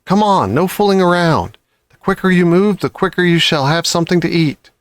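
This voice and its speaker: A man voicing a clever, cheerful, commanding father fox in a children's storybook, excited and pleased with himself. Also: high voice, brisk, no echo, voice pushed up, natural voice. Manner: excited, bossy, self-satisfied.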